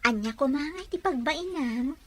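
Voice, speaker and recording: A woman's voice: high-pitched, lively intonation, moderate pace, a crowd in the background. A woman playing a sweet young woman in love in an audio drama, affectionate and reassuring. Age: young adult. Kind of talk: scripted audio drama dialogue.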